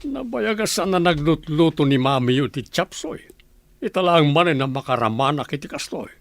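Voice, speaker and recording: A man's voice: fairly high pitch, lively intonation, moderate pace, dry recording. An actor playing an earnest, talkative family man in a radio drama, with an emphatic conversational delivery. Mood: curious, approving. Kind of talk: Ilocano radio drama acting.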